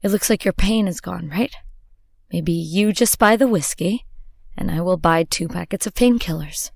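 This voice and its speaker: An actress playing a wry, quick-witted small-town woman in a radio drama, halting and coaxing. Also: lowish voice, vocal fry, no echo, studio quality. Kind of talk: horror mystery radio drama acting.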